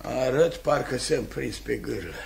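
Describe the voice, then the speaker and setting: An actor playing a boorish, hot-tempered landowner and creditor, blustering and grumbling in 1950s radio theatre style. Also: male voice, mid-range voice, animated, gravelly, darkish tone, a little hiss or hum. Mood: grumbling, miserable. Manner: bellowing, blustering, grumbling to himself.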